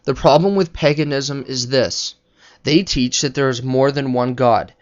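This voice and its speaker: A man's voice: medium pitch, a little slow, dull audio. A man giving an unscripted spoken commentary on religion in a didactic, argumentative manner.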